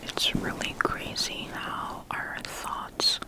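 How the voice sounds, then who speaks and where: Slow whispery asmr roleplay voice, breathy, calm n reassuring, right up on the mic. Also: low-quality recording. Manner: calm, soothing, reassuring, with soft repeated affirmations. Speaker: ASMR performer playing a calm comforter. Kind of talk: ASMR personal attention roleplay. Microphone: close ASMR microphone.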